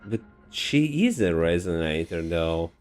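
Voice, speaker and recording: A man's voice: sits low, sing-song, measured pace, darkish tone, soft background music. A man giving casual, exclamatory reaction commentary as he plays a game, with plenty of swearing. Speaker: gamer reacting to a story quest.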